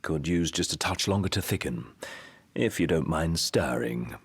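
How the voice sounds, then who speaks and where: Refined, husky British royal advisor. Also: male voice, extremely low pitch, animated, full character voice. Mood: wry, caring. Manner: formal, measured, politely wry phrasing. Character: refined, dutiful male royal advisor and cook, gently wry. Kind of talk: video game cutscene acting.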